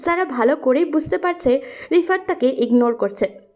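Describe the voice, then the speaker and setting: Woman reading out a love story, really high pitch but soft mellow tone. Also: animated, moderate pace. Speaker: story narrator. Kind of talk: scripted romantic story narration.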